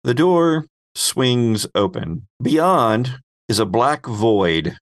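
A man narrating a tabletop role-playing game as game master, describing scenes in measured phrases with pauses. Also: medium pitch, lively intonation, no room sound, clean studio sound.

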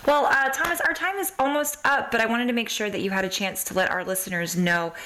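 A woman's voice: fairly high pitch, fast talker, pushed and bright, a little hiss or hum. A woman hosting a podcast, speaking in a casual, conversational interviewing style. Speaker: podcast host.

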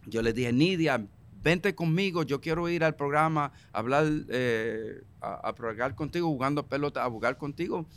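A man's voice: medium pitch, moderate pace, fairly bright tone, clean tone. A man with a Puerto Rican Spanish accent, a guest in a political interview, talking emphatically, repeating himself and slipping into English phrases. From Puerto Rico.

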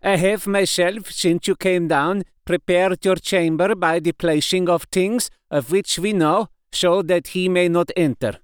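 A man playing a wise, kindly elderly professor who speaks in a solemn, formal, deliberate way. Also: high-pitched, steady tone, smooth, no room sound, clean studio sound, voice pushed up.

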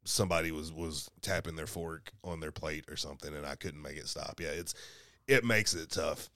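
A soft-spoken man co-hosting a casual podcast chat, rambling with lots of restarts and quick laughs. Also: extremely low pitch, no room sound, studio quality. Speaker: podcast co-host. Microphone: studio mic.

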